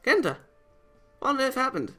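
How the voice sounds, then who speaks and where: Male reader as high-pitched young anime girl, concerned, scolding, bright voice, visual novel character, music behind. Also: big pitch swings, moderate pace, exaggerated voice. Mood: alarmed.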